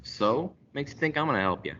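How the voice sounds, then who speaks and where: A man's voice: medium pitch, big pitch swings, brisk, pushed and bright. A sneering, cocky gangster boss played by a teenage man talks in slangy wise-guy style. It is radio-play acting on a slightly muffled recording with faint noise. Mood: cocky, mocking. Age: teens. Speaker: school radio drama actor. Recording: dull audio with faint noise.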